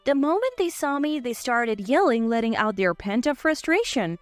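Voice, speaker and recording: A woman with a high voice narrates a scripted first-person drama. The recording is close and dry, with faint music running underneath. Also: very animated, normal speed, no echo. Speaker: story narrator. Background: faint background music.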